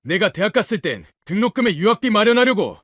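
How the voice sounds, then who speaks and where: A man's voice: sits high, sing-song, fast, a bit bright, no echo, full character voice. An actor playing a bitter, wounded old widowed father in an audio drama, reproachful and emphatic in his questioning.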